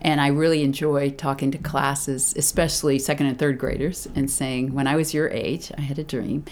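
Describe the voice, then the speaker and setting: A woman who illustrates children's books, talking thoughtfully in an interview, often pausing, restarting and laughing. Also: rumbling low, little pitch movement, darkish tone, slight background noise. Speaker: children's book author and illustrator. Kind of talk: reflective interview talk.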